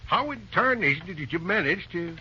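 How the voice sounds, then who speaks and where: A man's voice: high-pitched, lively intonation, moderate pace, sharp tone, heavily acted. An actor playing a kindly, cautious, flustered old man with folksy, hesitant exclamations in an old-time radio drama. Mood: doubtful. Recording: dull, faintly noisy vintage radio recording.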